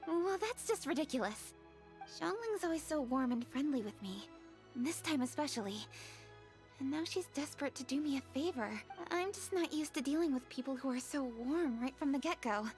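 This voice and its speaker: A woman's voice: high-pitched, lively intonation, normal speed, a little mellow, soft background music. A woman voicing a proud, self-assured but slightly awkward young noblewoman in an anime-style game, speaking formally and with reserve. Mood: flustered.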